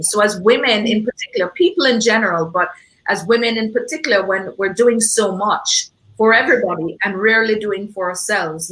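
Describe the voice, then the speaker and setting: A woman with a Jamaican accent and a bright, forceful voice hosts an event discussion. She speaks off the cuff, effusively and with emphasis, at an average pitch. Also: poor audio. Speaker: event host. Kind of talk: unscripted panel discussion talk.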